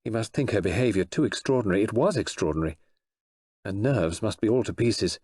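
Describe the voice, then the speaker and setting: A man reading fiction aloud as an expressive audiobook narrator. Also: on the low side, brisk, husky. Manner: expressive storytelling, voices the characters.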